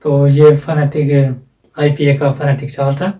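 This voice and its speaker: A man's voice: fairly high pitch, level tone, unhurried, warm tone, far from the mic, poor audio. A man teaching a lesson in a casual explanatory voice-over. He explains plainly, repeats himself and asks rhetorical questions. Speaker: English teacher. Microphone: voice-over, face not shown.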